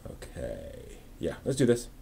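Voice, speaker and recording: A man's voice: deep voice, huge pitch swings, measured pace, gravelly, dark tone, slight background noise. A man hosting a casual live stream, rambling and often muttering to himself as he works.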